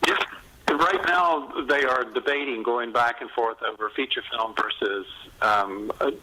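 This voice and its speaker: Middle-aged male author, podcast guest. Bright, clear-cut edge to a mid-pitched voice, conversational delivery. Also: measured pace, rough recording. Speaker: author being interviewed.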